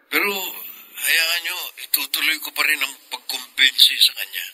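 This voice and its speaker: An actor playing an apologetic, respectful go-between in a Filipino radio drama. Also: male voice, on the high side, steady tone, moderate pace, bright, forceful tone, slightly rough, heavily acted. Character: apologetic, respectful brother-in-law acting as go-between.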